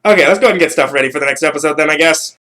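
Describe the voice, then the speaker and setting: A man with a slightly high voice is chatting as the host of a livestream. He talks casually and rambles, doubling back over his own phrases. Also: very fast, sharp tone. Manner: rambling, repeats himself.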